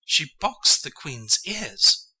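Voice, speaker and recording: A timid, nervous rabbit murmurs in a low, hurried, breathy voice, put on by a male narrator. The tone is bright and edgy, slow, with pitch that jumps around anxiously. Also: sing-song, full character voice, poor audio.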